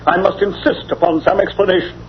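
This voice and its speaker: An actor playing a pompous, indignant English bachelor gentleman in old-time radio drama style, speaking formally. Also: high-pitched, fairly even intonation, fairly quick, noisy background, close to an everyday voice. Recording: dull lo-fi old radio recording.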